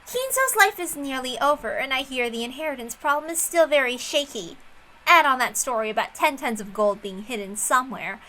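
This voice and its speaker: A woman's voice: high voice, lively intonation, brisk, bright, forceful tone, rough recording. Woman reading as blunt, suspicious middle-aged younger brother.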